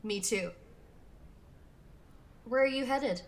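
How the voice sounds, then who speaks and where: A woman playing a friendly, lonely high school senior in an audio drama, earnest and candid. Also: slow pace. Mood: friendly, hesitant.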